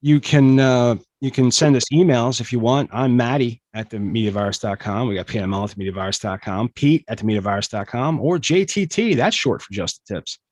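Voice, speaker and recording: A man's voice: medium pitch, brisk, few pauses, a little mellow, dry recording, clean studio sound. A man hosting a comedy podcast, joking and rambling with lots of fillers. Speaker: podcast host. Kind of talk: casual comedy podcast chat.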